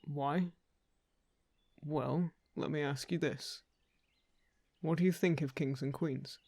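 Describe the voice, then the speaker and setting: Man acting earnest, gravelly royal envoy, lightish voice. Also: a little high, fairly even intonation, slow, no echo, exaggerated voice. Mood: reassuring, earnest. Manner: hesitant, apologetic, gently persuasive. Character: polite, earnest male royal envoy seeking a lost heir. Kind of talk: fantasy audio roleplay acting.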